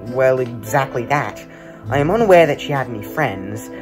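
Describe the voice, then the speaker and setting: A man's voice: medium pitch, animated, normal speed, lightly acted. A young man playing a dutiful, guarded butler in a radio play, speaking with stiff, proper formality. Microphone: video call. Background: prominent music.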